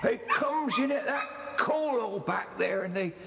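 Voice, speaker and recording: An actor playing a simple, spiteful old kennel keeper with rustic dialect, muttering and cackling in a children's audio drama. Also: male voice, extremely high pitch, expressive, measured pace, gravelly, very noisy, heavily acted. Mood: suspicious. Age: older. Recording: echoey cellar reverb on old cassette.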